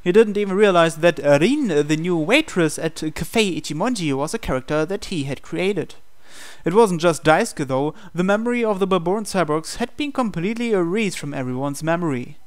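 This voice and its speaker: A man's voice: sits high, expressive, moderate pace. A man reading visual novel narration aloud as host of a game playthrough. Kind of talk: scripted narration read aloud.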